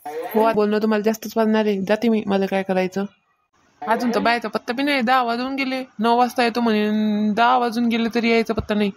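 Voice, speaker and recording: A woman's voice: fairly high pitch, steady tone, brisk, close to an everyday voice, poor audio. A woman playing a bossy, hardworking farmer's wife in a comedy cartoon, plain-spoken and chiding, with a rural Maharashtra Marathi accent.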